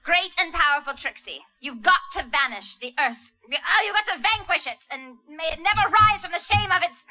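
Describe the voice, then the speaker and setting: A woman voicing a dim-witted, excitable young colt at a live script reading, shrill and goofy. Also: moderate pace, full character voice, rough recording. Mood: eager.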